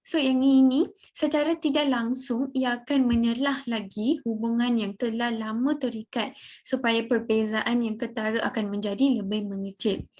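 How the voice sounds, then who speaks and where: A woman's voice: a little high, steady tone, normal speed, darkish tone. A young woman with a Malaysian accent giving a student presentation, mostly formal with casual linking words. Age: young adult. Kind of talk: semi-scripted group presentation.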